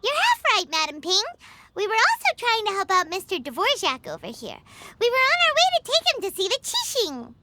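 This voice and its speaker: Little girl, extremely high voice, swooping intonation, eager, earnest, caring, animated game. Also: fairly quick, very noisy, exaggerated voice. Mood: excited. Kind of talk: animated fantasy game voice acting.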